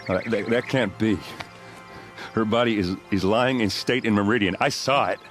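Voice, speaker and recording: A man's voice: fairly low pitch, normal speed, music in the background, natural voice. A man acting as a blunt, grieving soldier in a video game, with an emotionally raw delivery. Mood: shaken, urgent.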